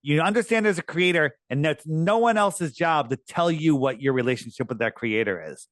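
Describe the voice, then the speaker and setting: A man's voice: normal speed, a bit bright, no echo, clean studio sound. Earnest man losing his voice. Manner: earnest, emphatic, rising pitch on key points. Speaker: podcast guest. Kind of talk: conversational interview talk.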